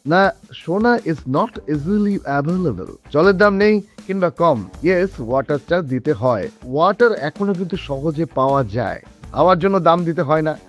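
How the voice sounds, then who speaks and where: A man's voice: on the high side, animated, measured pace. An actor playing a glib, self-assured middle-aged businessman in a radio drama, peppering his talk with English interjections. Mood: persuasive, lecturing. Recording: dull lo-fi audio with background music.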